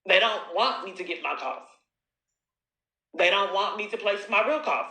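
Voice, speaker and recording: Woman arguing on a call, pushy and accusing, keeps repeating, sharp voice, echoey room. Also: medium pitch, normal speed. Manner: accusatory, insistent, repetitive. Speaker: caller recording her own phone call. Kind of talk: confrontational phone conversation with long monologues.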